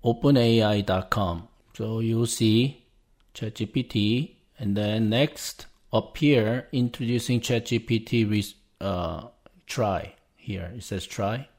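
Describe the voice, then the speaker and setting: A man's voice: medium pitch, a little mellow. A man whose first language is Korean, hosting a podcast and talking viewers through a casual step-by-step tutorial, narrating each click as he goes. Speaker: podcast host. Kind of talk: casual screen-share tutorial.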